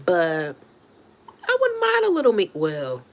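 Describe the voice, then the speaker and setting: A woman's voice: medium pitch, wildly swooping pitch, a little slow, gravelly. A woman in her thirties chatting casually on a livestream, reacting to viewer comments as she talks. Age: 30s. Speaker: livestream host.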